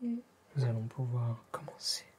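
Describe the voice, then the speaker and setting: A man whispers softly and breathily, giving polite, gentle, formal instructions as a tailor in an ASMR roleplay. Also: mid-range voice, sing-song, moderate pace. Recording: clear background noise with clicks.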